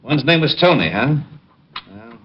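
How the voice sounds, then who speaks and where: An actor playing an irritable, hungover police lieutenant who sounds gruff and impatient, in an old radio crime drama. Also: male voice, medium pitch, sing-song, unhurried. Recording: lo-fi old radio broadcast with faint noise.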